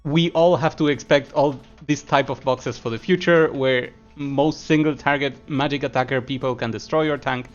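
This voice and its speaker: A man from Argentina commentates a live esports match at a middle pitch. He talks in a loose, chatty way and turns from analysis to chat messages. The stream audio is a little muffled, and soft music plays underneath. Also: steady tone, normal speed, few pauses. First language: Spanish. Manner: chatty, laughs often, answers chat between analysis. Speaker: esports caster.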